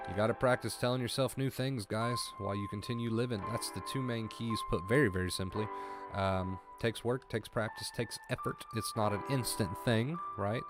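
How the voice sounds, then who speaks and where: A man's voice: lowish voice, moderate pace. Stuffy-voiced man hosting a livestream over music. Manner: reassuring, repeats key phrases, thanks viewers by name. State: stuffy nose, clears throat often. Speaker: livestream host coaching on anxiety. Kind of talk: casual livestream talk reading chat. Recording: music clearly audible behind.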